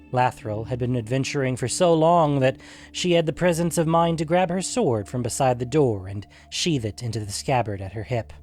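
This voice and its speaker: A male narrator tells a fantasy tale in a measured, literary way. His pitch sits in an ordinary male range. Music runs underneath the narration. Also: darkish tone. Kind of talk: scripted fantasy story narration.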